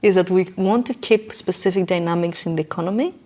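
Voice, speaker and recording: A woman's voice: fairly low pitch, fast talker, mellow. Woman explaining ideas with emphatic conviction. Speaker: interview guest.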